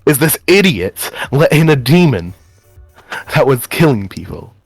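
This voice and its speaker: A man's voice: mid-range voice, very animated, unhurried, slightly rough, faint music behind, exaggerated voice. A man playing an agitated, betrayed young man in improvised roleplay, stammering and repeating words in bitter, sarcastic accusation. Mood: angry, accusing. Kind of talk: improvised in-character roleplay dialogue.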